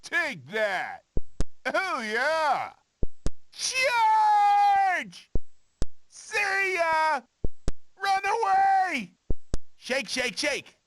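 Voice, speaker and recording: An excitable, cocky cartoon video game character, high-pitched and swooping wildly. The short shouts are drawn out and taunting, over faint noise. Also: female voice, very slow, long pauses, heavily acted. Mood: excited, triumphant. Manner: exclamatory, taunting.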